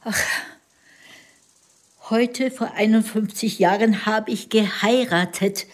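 A woman's voice: a little high, takes it slowly. An actress playing a depressed, weary, self-pitying village woman in a radio drama, sighing and plaintive. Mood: mournful.

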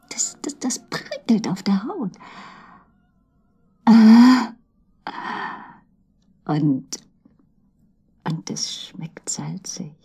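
An actress playing a giddy, infatuated, lonely woman in a radio drama, speaking intimately and haltingly in a breathy voice that often sinks to a whisper. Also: medium pitch, dark tone, full character voice. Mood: giddy, dreamy. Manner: halting, emotional, swinging between murmur and outburst.

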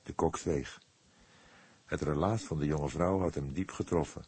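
A man narrating a scripted story as an audiobook, in a measured storytelling manner. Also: rumbling low, darkish tone, slightly rough, no room sound.

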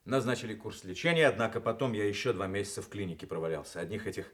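An actor playing an ailing, middle-aged war-veteran commander in a Soviet radio play, sighing, plain-spoken and courteous. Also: male voice, on the low side, animated, racing, fairly bright tone. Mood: weary, resigned.

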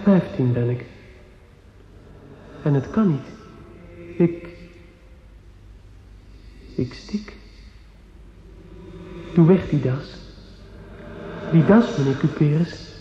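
A man's voice: on the high side, expressive, very slow, pauses often, slight background noise. An actor playing a feverish fifteen-year-old boy in a radio play, earnest and dreamy, hesitantly groping for words. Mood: delirious, distressed. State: ill, sore throat. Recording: dull lo-fi old radio recording.

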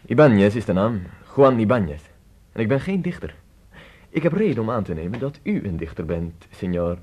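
An actor playing an inquisitive, courteous Spanish traveller of nearly forty in a radio drama, speaking formally and wordily. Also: male voice, on the low side, sing-song, fairly quick, a little mellow. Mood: courteous, curious. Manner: formal, wordy, probing. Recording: noisy old radio play recording.

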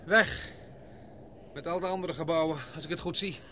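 A man acting in a Dutch radio drama as an anxious, protective adventurer, with halting, dramatic phrasing. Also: on the high side, fairly even intonation. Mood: awed. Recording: noisy old radio play, loud background ambience.

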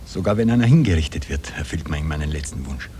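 Low-voiced Viennese impostor husband. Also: male voice, expressive, fast talker, dark tone. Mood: exasperated, pleading. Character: weary confessed impostor husband from working-class Vienna. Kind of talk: 1960 radio play acting. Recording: noisy old radio drama cassette transfer.

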